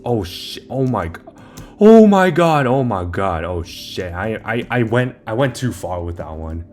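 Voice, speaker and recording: A young man with a somewhat low voice talks through a video game in a loose, informal way. He explains each step as he plays, wandering a bit as he goes. Faint music sits behind his commentary. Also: sing-song, unhurried, a little mellow. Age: young adult. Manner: informal, rambling, talks through steps as playing. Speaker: video maker giving game guide. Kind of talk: casual game walkthrough commentary.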